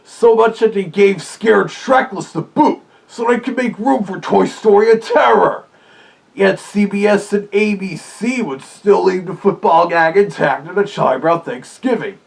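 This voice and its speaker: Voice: medium pitch, a little slow, gravelly. Opinionated reviewer with a mid-range voice. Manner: in character as a fuzzy cartoon animal reviewer. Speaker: video reviewer. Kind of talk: casual scripted review commentary.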